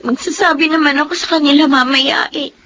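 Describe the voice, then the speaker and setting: A timid freshman girl in a radio drama, high-pitched and Filipino-accented. She is hesitant, polite and easily confused, over faint room noise. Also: fairly even intonation, a little fast, pushed and bright. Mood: tearful, discouraged. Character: timid, confused young female college freshman.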